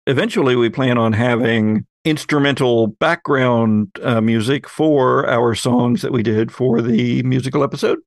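A man's voice: mid-range voice, moderate pace, few pauses, dry recording, studio quality. A man hosting a podcast in a casual, conversational discussion style. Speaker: podcast host.

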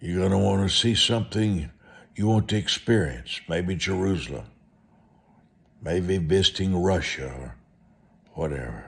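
An American man preaching a motivational sermon and telling stories in a deliberate, emphatic way, repeating short phrases. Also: low voice, gravelly.

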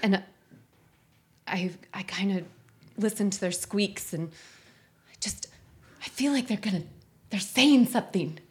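A woman's voice: mid-range voice, expressive, close to an everyday voice. Hesitant, eccentric, obsessive woman an actress plays her. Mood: obsessive, intense. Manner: hesitant, halting, intense. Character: eccentric, obsessive woman paranoid about squeaking mice. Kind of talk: improvised comedy radio play parody.

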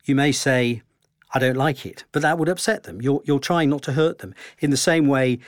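A man speaking as a historian guest, giving measured, explanatory answers in an interview. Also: medium pitch, no room sound, clean studio sound.

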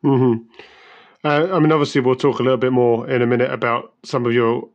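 A man hosts an interview, stumbling into questions and repeating words before he lands them. His voice is mid-pitched. The recording sounds slightly muffled. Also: steady tone, a little fast. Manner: hesitant, repeats words when asking questions. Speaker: interview host. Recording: dull sound.

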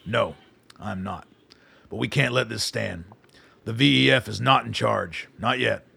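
A gravelly, hard-edged army colonel. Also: male voice, fairly low pitch, a little slow, pushed and bright, full character voice. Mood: grim, defiant. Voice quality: gravelly, raspy. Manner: blunt, clipped military orders. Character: weary, foul-mouthed army colonel, commanding and stubborn. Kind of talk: radio drama acting.

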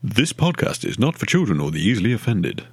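A man's voice: lowish voice, sing-song, brisk, darkish tone, breathy edge, no room sound, clean studio sound. A man reading a scripted podcast disclaimer in a mock-formal tone. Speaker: disclaimer announcer.

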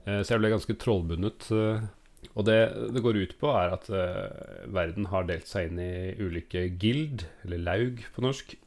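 Low-voiced man chatting as podcast host. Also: a little mellow. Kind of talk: casual explanatory chat.

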